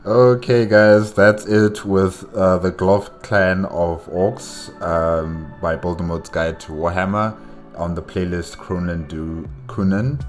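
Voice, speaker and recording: A man giving casual, unscripted commentary as a reaction host, with halting and repetitive phrasing. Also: low voice, fairly even intonation, soft background music.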